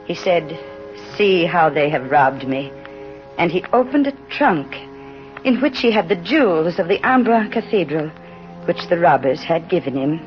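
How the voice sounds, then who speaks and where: An actress playing a gentle, devout old woman, tenderly reading out a letter in measured old radio style. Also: lowish voice, lively intonation, soft background music. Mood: devoted, serene. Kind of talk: letter read aloud, 1930s radio acting. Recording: muffled old radio recording.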